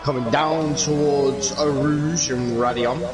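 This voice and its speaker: A man with a croaky voice commentates a sim racing league live, chatty, excitable and quick with a joke. His voice sits in a middling range. Loud music and engine noise from the game play behind him. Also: medium pitch. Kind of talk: live esports race commentary.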